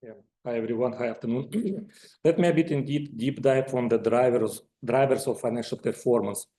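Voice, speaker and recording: Mellow-voiced male finance executive, echoey sound. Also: mid-range voice, moderate pace, slight background noise. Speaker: finance executive presenting results. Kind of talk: earnings call presentation. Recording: echoey conference call audio.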